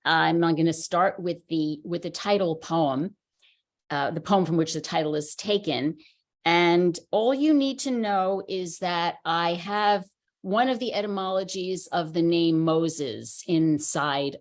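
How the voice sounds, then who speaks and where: Slow, measured woman reading poetry. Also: fairly low pitch. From United States. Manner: measured, unhurried recitation. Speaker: poet. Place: reading at a writers center.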